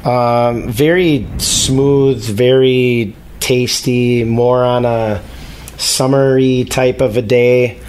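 A man's voice: medium pitch, fairly even intonation, very slow, darkish tone, clear, resonant tone, poor audio. A man from Minnesota, a guest on a casual podcast, easygoing with a dry sense of humor. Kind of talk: casual chat.

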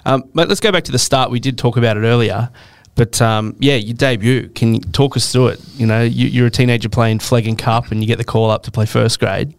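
A man's voice: mid-range voice, fairly even intonation, brisk, hardly any pauses, dry recording. A man with an Australian accent, hosting a casual podcast interview and asking questions in an easygoing, friendly way.

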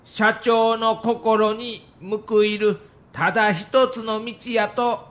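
Man playing middle-aged baseball manager, high voice, very slow delivery, Kansai accent, earnest, solemn, audio documentary drama. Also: little pitch movement, fairly bright tone. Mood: solemn resolve. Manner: solemn, pleading, polite. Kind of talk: acted dialogue in audio documentary.

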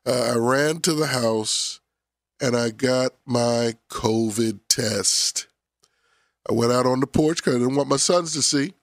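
A man's voice: medium pitch, unhurried, no echo, studio quality. A man in his forties, a pastor hosting a radio talk show, sounding nasal and scratchy from a summer cold, playful and preacherly. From Philadelphia area.

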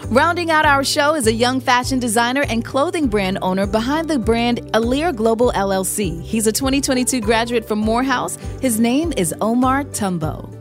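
A woman reading a scripted introduction in a smooth, announcer-like way. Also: a little high, expressive, normal speed, few pauses. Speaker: narrator. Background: music.

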